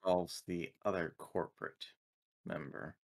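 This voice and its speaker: A man voicing a formal, literal, loyal android aide with stilted, deliberate phrasing. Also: sits low, steady tone, creaky, voice pushed down. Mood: measured, formal.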